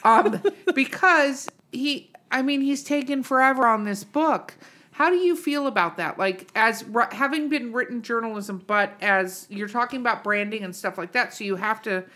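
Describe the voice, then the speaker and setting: A woman's voice: fairly high pitch, normal speed, pushed and bright. A woman hosting a podcast in casual chat, irreverent and swearing freely. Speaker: podcast host.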